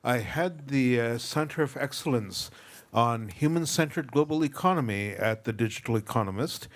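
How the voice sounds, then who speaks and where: A man giving a formal panel introduction in measured, rhetorical phrases. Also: mid-range voice, animated. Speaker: panelist, think tank director.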